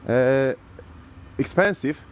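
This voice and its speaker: A man from Poland with a Polish accent, talking casually in halting, simple non-native English as a vlogger. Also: mid-range voice, a little hiss or hum. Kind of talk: casual vlog talk.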